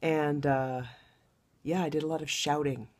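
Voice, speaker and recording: A middle-aged woman hosting her own podcast, chatting in a rambling way with a low, husky voice from a recent loud wedding, recorded at home. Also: steady tone, a little slow. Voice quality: low baritone voice. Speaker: podcast host. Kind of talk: casual podcast monologue.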